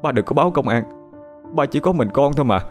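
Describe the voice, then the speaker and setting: A man's voice: mid-range voice, expressive, fast talker, faint music behind, pitched above the performer's own voice, close to an everyday voice. A man voicing a manipulative young murderer who whines and stammers as he pleads. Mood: whining pleading.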